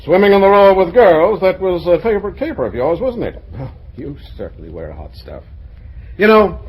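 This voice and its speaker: An exasperated middle-aged dad in an old radio comedy. His voice is husky and fairly high, swinging up and down as he blusters. He stumbles over words when flustered. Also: very animated, moderate pace, muffled recording, exaggerated voice. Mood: jovial, teasing. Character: exasperated middle-aged father fond of a drink.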